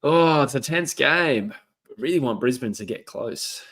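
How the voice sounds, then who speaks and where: A man in his thirties co-hosting a football watchalong in casual chat, relaxed and quick to laugh. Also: mid-range voice. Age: 30s. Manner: relaxed, laughs easily, thinks aloud. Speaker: co-host of a live football watchalong.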